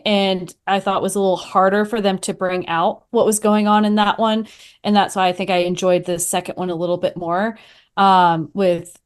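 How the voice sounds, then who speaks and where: A woman's voice: mid-range voice, darkish tone, clean tone. A woman talking casually through her views as a panelist on a review podcast.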